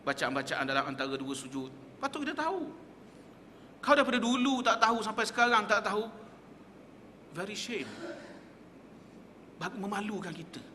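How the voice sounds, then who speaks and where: A man's voice: high voice, very animated, normal speed, long pauses, bright, forceful tone, in a large hall, slight background noise. A man teaching in a mosque, asking emphatic rhetorical questions and quoting Arabic texts. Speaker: religious teacher. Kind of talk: mosque lecture. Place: prayer hall of a small mosque.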